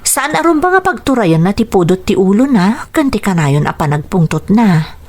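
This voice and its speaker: Dutiful gentle young wife, filipino accent, radio drama, mid voice, earnest n soothing. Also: female voice, medium pitch, big pitch swings, moderate pace. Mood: reassuring. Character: patient, dutiful young wife and loving daughter.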